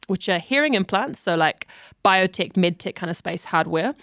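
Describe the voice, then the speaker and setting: A woman shares her career path on a podcast. She is animated and laughs easily, and she often repeats words as she builds up to a point. The sound is dry and close, with no room around it. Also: on the low side, moderate pace, no room sound. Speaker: podcast co-host. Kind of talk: casual podcast chat.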